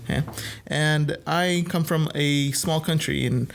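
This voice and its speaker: Guy from el salvador chatting on a podcast, voice a bit on the high side. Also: a little slow, creaky. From El Salvador, moved to the US at age eight. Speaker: podcast guest, youth minister. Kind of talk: casual conversation.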